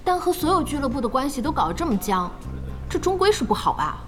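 Young gamer girl, high voice, gossipy and expressive, audio drama. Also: quick pace, faint music behind. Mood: scornful, doubtful. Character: chatty, spirited young female gamer. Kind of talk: audio drama acting in dialogue.

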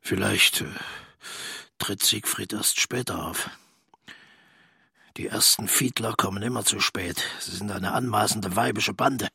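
A bitter, haughty militarist for a radio play. The voice is very raspy and gravelly with a hard, sharp edge. He sneers his scornful inner thoughts close and dry. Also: lowish voice, a little slow, dry recording, exaggerated voice. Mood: sneering impatience. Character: contemptuous, bitter male German fascist militarist, middle-aged or older. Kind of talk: radio play interior monologue.

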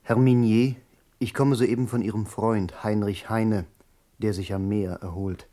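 Man acting a self-important caller, lowish voice, slow, formal, deliberate, radio drama. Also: steady tone, warm tone, natural voice. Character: Ludwig Stege, stiffly formal, self-important male visitor. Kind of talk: German radio play acting.

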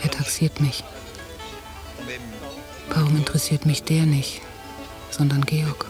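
An actress playing an anxious, jealous, watchful woman in a German radio drama, in a quiet, introspective, measured delivery. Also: deep voice, flat delivery. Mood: brooding, inward. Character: anxious, jealous young-to-middle-aged woman on holiday, brooding, watchful. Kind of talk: German radio drama, intimate interior monologue and dialogue. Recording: 1990 radio drama from cassette, music behind.

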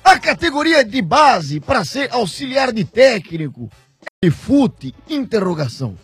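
A man with a very husky, high voice talks in an animated, lively way, his pitch swinging a lot. The sound is close and dry, with faint music behind. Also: unhurried, no room sound.

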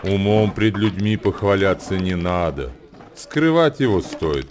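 An actor in a radio play voicing a grave male wanderer who recites ancient wisdom verse in a deliberate, declamatory way. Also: low-pitched, expressive, a little mellow, slight background noise. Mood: grave, reflective. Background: music.

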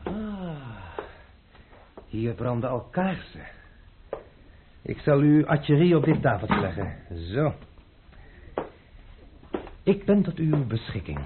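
Mocking, gallant young gentleman: lively, fairly high voice. Old radio play, phone-thin sound. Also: slow, pauses often. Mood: amused. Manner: playful, mocking, courtly. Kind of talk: radio drama acting. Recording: tinny lo-fi old radio recording with faint hiss.

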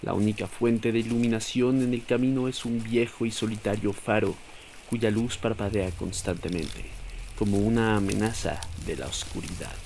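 A man's voice: on the low side, fairly even intonation, normal speed, a little mellow, a little hiss or hum. A man with a Mexican accent reading scripted narration for an audio story.

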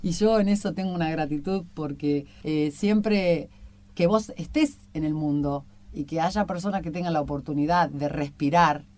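A woman's voice: low voice, normal speed, darkish tone. A woman with an Argentine Rioplatense accent hosting a conversational interview, effusive and rambling in long-winded questions. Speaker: radio show host and interviewer.

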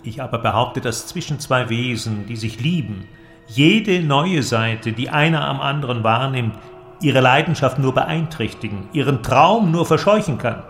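An actor playing an eloquent, cynical inventor who argues in a declamatory, creaky voice in a literary audio drama. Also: male voice, mid-range voice, lively intonation, moderate pace, a bit bright, faint music behind. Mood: assertive. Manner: declamatory, argumentative, rhetorical. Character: male inventor, cynical, eloquent philosophical persuader.

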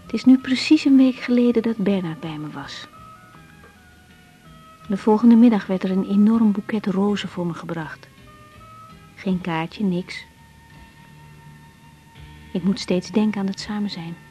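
An actress playing a caring, reflective young woman doctor in a radio drama, gentle and slightly hesitant. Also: medium pitch, a little slow, pauses often, soft background music, natural voice. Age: young adult. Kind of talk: radio drama dialogue and diary-like narration.

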